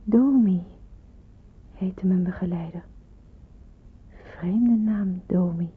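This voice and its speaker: A woman's voice: fairly low pitch, extremely slow, breathy edge, full character voice. An actress playing a bewildered, wary young woman in hiding, musing and questioning in a radio drama. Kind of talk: radio drama narration and dialogue. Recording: muffled, narrow-band old radio recording.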